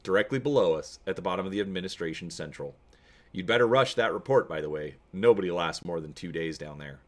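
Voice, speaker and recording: A man's voice: sits low, animated, fast. A man voicing a grim, weathered older colonel in a story reading, confiding and wry, friendly yet coldly ruthless.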